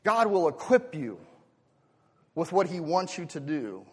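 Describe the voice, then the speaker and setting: American guy preaching, kinda high voice, asks questions then gets emphatic, big echoey church hall. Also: big pitch swings, unhurried, a bit bright, slightly rough. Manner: rhetorical questions, conversational asides, emphatic swells. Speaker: pastor preaching to congregation. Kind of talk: sermon. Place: large reverberant church hall. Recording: long hall reverb.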